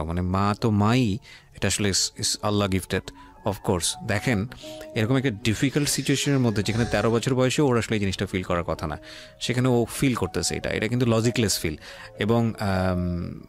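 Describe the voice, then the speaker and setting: A man's voice: fairly low pitch, normal speed, soft background music. A man with a Bangladeshi accent hosting a radio interview, commenting emphatically and with strong opinions, dropping English phrases into his talk. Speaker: radio show host.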